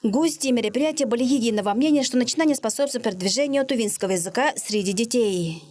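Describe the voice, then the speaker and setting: A woman's voice: on the high side, fast, a little mellow. A woman reading a scripted news report voice-over in a formal, informative manner.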